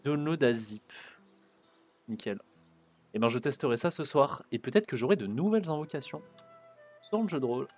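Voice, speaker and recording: A man's voice: mid-range voice, lively intonation, normal speed, long pauses, a little mellow, soft background music. Chatty man commenting on a game. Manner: chatty, muttering and swearing at the game. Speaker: streamer playing a video game. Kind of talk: casual let's-play commentary.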